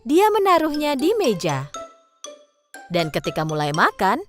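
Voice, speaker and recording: A woman's voice: fairly high pitch, wildly swooping pitch, unhurried. A woman narrating a scripted children's story. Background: music.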